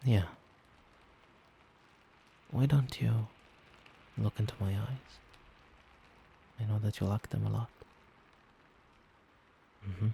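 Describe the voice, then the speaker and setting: A man playing a doting, gentle vampire boyfriend in a soft-spoken ASMR roleplay, tender, reassuring and coaxing. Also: lowish voice, fairly even intonation, slow, vocal fry, pauses often, a little hiss or hum. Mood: soothing, hypnotic. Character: doting, gentle male vampire boyfriend soothing partner to sleep.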